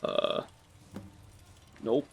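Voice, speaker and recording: A man's voice: extremely slow, a little hiss or hum. A man playing a terse adventurer hero in video game dialogue, asking short, plain questions. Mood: questioning. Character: terse male adventurer, the game's player hero.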